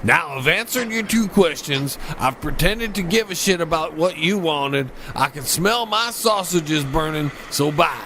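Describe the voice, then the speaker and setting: A man's voice: a little high, lively intonation, measured pace, hardly any pauses, background noise. An actor playing a cranky, lewd old uncle in a cartoon comedy, sarcastic and crude as he grumbles along. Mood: grumpy. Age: older. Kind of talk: scripted animated comedy acting.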